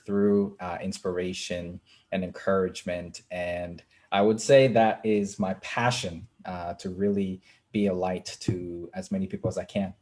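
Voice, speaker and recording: A young man from Kenya, an author being interviewed, speaking earnestly with frequent pauses. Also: deep voice, darkish tone. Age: young adult. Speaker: author and writing coach, guest. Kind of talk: casual interview talk.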